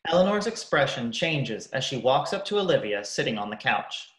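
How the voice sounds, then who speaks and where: Narrator for radio play: young woman, very deep voice, reading stage directions, roomy echo. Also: sing-song, normal speed.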